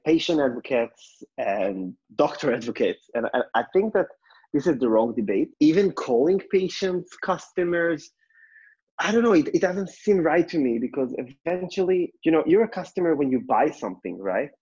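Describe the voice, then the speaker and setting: A man's voice: a little high, animated, normal speed, darkish tone, husky. A man from Israel with an Israeli accent answers conversationally as an interview guest, in a hesitant, reflective manner. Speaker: podcast guest, health entrepreneur and speaker. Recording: slightly dull lo-fi sound.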